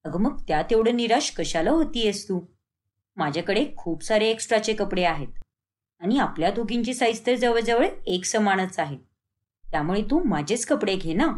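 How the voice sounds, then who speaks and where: A woman's voice: mid-range voice, voice pushed down, natural voice. Woman playing a brash modern middle-aged woman. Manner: brash, self-assured. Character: bold, hot-tempered modern middle-aged village woman. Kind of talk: cartoon moral story dialogue.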